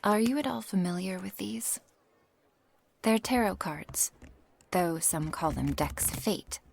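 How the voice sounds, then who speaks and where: A woman playing a flustered, earnest young witch who reads tarot, in an ASMR roleplay with a halting manner. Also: medium pitch, animated, slight background noise. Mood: earnest, chatty. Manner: halting, breathy asides, nervous little laughs and sighs. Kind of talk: ASMR roleplay acting, addressed to the listener.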